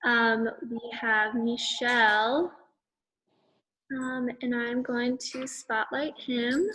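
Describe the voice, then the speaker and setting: A woman hosting a virtual event, introducing speakers slowly over a video call. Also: fairly high pitch, flat delivery, bright, forceful tone, rough recording. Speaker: event host.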